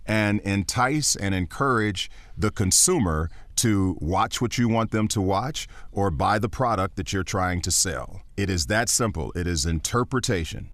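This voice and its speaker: A man with a baritone voice, a voiceover actor and teacher, talking as a podcast guest in an earnest, encouraging, emphatic way. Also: animated, a little slow, hardly any pauses, a bit bright, no echo.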